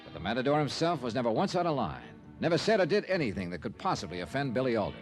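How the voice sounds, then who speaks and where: An actor playing a shrewd, wry, hardboiled private investigator with an American accent in an old-time radio detective drama. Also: male voice, mid-range voice, very animated, rapid-fire, close to an everyday voice. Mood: wry narration. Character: shrewd, sardonic male private investigator narrating his case. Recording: vintage radio recording with music.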